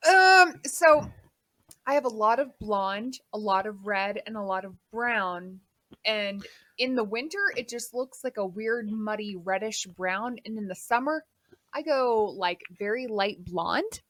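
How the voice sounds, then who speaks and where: A woman in her late twenties from Texas, co-hosting a casual podcast chat, lively and quick to swear. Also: medium pitch, no room sound. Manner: animated, swears freely. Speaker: podcast co-host. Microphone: studio mic.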